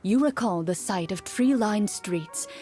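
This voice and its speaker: A woman playing a composed game master, narrating a fantasy scene in measured, articulate storytelling style. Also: mid-range voice, animated, mellow, faint music behind, natural voice. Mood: solemn. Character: composed young female tabletop game master. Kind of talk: scripted dramatic storytelling narration.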